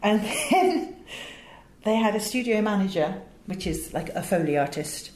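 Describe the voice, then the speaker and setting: A woman's voice: medium pitch, very animated, measured pace, a little mellow. A middle-aged woman with an English accent, telling anecdotes emphatically and theatrically as an interview guest. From England. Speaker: interview guest, voice director and audio producer. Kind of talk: animated anecdotal interview talk. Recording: roomy echo with faint background noise.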